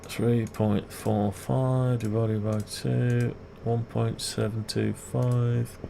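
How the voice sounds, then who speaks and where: Slow, gravelly man thinking aloud. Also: medium pitch. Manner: muttering to himself, self-questioning. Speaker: video creator demonstrating CAD design. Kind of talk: unscripted thinking aloud while working. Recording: steady background noise, keyboard typing.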